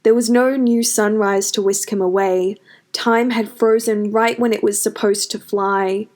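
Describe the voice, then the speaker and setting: Female narrator for fantasy fiction, mid-range voice, expressive scripted storytelling. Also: mid-range voice, unhurried.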